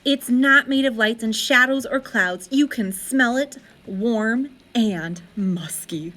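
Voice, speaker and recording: A woman reading a children's story aloud as an expressive narrator, with deliberate, dramatic phrasing. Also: fairly high pitch.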